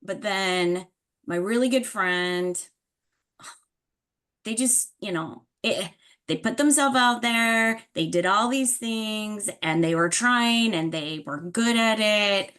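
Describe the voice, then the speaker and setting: A woman's voice: medium pitch, slow pace, fairly bright tone, smooth. A woman improvising in a casual, slangy, easygoing way as a workshop participant. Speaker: workshop participant in a role-play. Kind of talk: casual, improvised role-play talk.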